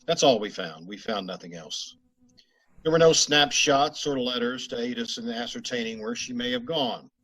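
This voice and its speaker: A man playing a clipped, husky police sergeant. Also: medium pitch, moderate pace, close to an everyday voice, rough recording. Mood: matter-of-fact. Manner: clipped, flat police-report delivery. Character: terse male police sergeant narrating case. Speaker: radio drama narrator. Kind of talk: old-time radio drama narration.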